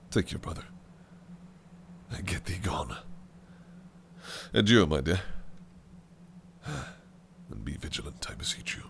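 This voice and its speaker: An actor playing a possessive, scheming male fae prince in an audio roleplay drama, who speaks in a theatrical, old-fashioned way and swings between shouted rage and hushed whispers. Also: a bass voice, gravelly, slight background noise, full character voice.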